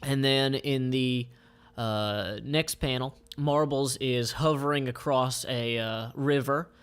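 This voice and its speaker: Man, middle pitch, casual comic read-aloud, descriptive, halting improvised phrasing. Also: fairly even intonation, a bit bright, clear, resonant tone. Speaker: comic creator reading his comic aloud.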